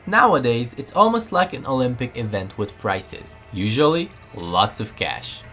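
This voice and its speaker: A man reading a scripted voiceover narration with lively storytelling delivery. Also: medium pitch, a little slow, soft background music.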